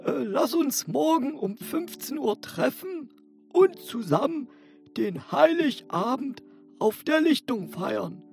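A woman playing an excitable, good-natured wolf with expressive, drawn-out speech in an audio fairy tale. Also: sits high, gravelly, faint music behind, full character voice. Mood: pleased.

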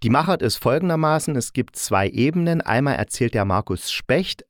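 A man's voice: medium pitch, expressive, moderate pace. A man hosting an informal review podcast, chatty and correcting himself as he goes. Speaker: podcast host and audio drama reviewer.

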